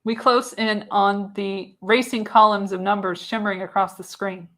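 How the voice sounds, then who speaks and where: A woman's voice: medium pitch, little pitch movement, moderate pace, a bit bright. A woman reading screenplay narration for a live radio play, theatrical and emphatic on the action. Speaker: podcast host reading the narration.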